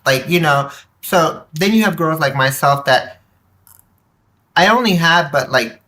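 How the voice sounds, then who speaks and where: Woman podcast guest, really bright forceful voice, low pitched, candid n animated storytelling. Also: a little slow. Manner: animated, emphatic, acts out quoted remarks. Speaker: podcast guest, nonprofit board member. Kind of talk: candid personal storytelling in conversation.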